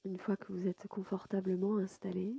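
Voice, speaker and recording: A woman softly guiding a sleep meditation as a yoga nidra host, unhurried and soothing, with a breathy voice that sometimes nears a whisper. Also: medium pitch, flat delivery, no room sound, lo-fi sound. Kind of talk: guided sleep meditation narration.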